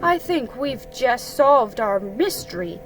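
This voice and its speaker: A woman voicing a kindly, slow-thinking storybook bear in a thoughtful, deliberate manner. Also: a little high, very animated, over loud music, heavily acted.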